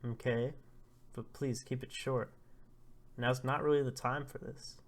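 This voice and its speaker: Earnest, excitable young male hunter, devoted father-to-be in a storybook tale, read by a man. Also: mid-range voice, measured pace, lightly acted. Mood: impatient. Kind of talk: storybook character reading.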